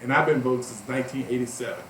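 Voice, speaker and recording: A man's voice: mid-range voice, racing, a bit bright. Man, 50s, emphatic talk radio host, roomy distant sound, faint noise. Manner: passionate, emphatic, rising to outbursts. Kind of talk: heated talk radio discussion.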